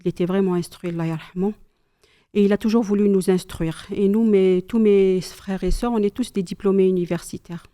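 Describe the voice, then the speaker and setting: A middle-aged woman from Algeria, a mother telling her family's story in a calm, thoughtful casual chat with pious asides. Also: medium pitch, a little fast. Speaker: mother sharing memories.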